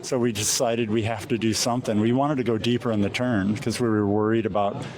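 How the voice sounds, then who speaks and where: An American man in a casual interview as a pilot, recounting things in a halting way with frequent pauses mid-phrase. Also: fairly low pitch, fairly even intonation, a little mellow, slight background noise, rough recording. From Alaska, USA. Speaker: competition glider pilot being interviewed. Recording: crowd chatter in the background.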